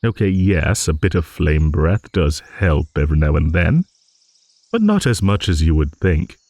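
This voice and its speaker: A man playing an old dragon, wistful, slightly vain, rambling, very lively intonation, close dry sound, fantasy monologue. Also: deep voice, moderate pace, no room sound, exaggerated voice. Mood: playful storytelling. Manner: rambling, musing, with hums and soft chuckles. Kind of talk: improvised fantasy audio monologue.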